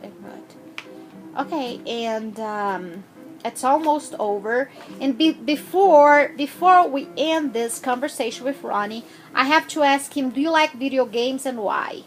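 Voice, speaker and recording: A woman interviewing a child, asking gentle, patient questions with frequent hesitations. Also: high voice, measured pace, faint music behind.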